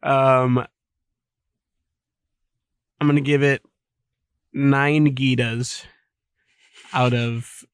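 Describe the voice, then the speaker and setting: A man's voice: medium pitch, flat delivery, drawn-out words, long pauses, smooth, no room sound. A young man co-hosting a podcast in casual chat, rambling with many fillers and laughing often. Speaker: podcast co-host.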